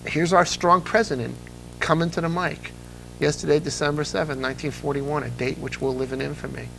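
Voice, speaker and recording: A man's voice: fairly high pitch, steady tone, fairly quick, creaky, background noise. A man hosting a casual interview, telling stories with enthusiasm and frequent restarts. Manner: enthusiastic storyteller, restarts often. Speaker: interview host. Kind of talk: casual nostalgic interview chat.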